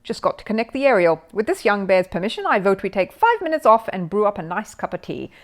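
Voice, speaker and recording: A woman's voice: mid-range voice, very animated, quick pace, close to an everyday voice. Woman playing a cheerful, chatty storybook repairman. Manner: chatty, informal working man's talk. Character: cheerful chatty male television repairman in overalls. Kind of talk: children's storybook character voice.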